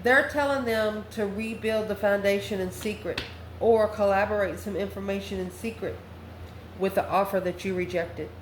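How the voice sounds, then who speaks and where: Woman, online tarot reader, off-the-cuff, folksy and sassy, medium pitch, reverberant room. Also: steady tone, moderate pace. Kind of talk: improvised spoken tarot reading. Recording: roomy echo with faint background noise.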